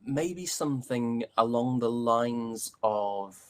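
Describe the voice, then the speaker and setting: A man's voice: medium pitch, expressive, slow pace, smooth. A British man, an actor, chatting casually as an interview guest in a joking, self-deprecating manner.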